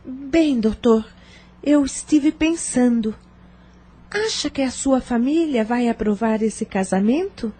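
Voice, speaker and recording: Wounded, cautious young single mother played by a woman, high and mellow, hesitant, deferential, audio drama. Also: slow pace, husky.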